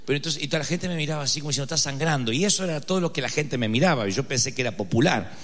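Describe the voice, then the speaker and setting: Argentine male pastor, mid-pitch, humorous and emphatic, reverberant hall. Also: lively intonation, racing, fairly bright tone. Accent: Argentine Spanish. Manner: humorous, conversational storytelling with dramatic emphasis. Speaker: preacher. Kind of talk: sermon with personal anecdote.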